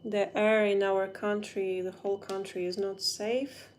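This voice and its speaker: Young Ukrainian-accented woman streaming from her phone, slow and halting as she reaches for words, faint room hum behind. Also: mid-range voice, level tone. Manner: halting, searching for English words. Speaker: livestreamer. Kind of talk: casual livestream chat while doing makeup.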